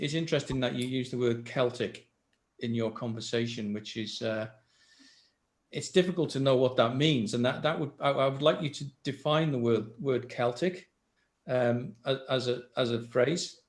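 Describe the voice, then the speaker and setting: A man's voice: medium pitch, normal speed. Man, hesitant, echoey room. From Wales. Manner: hesitant, searching for words. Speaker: co-host asking questions.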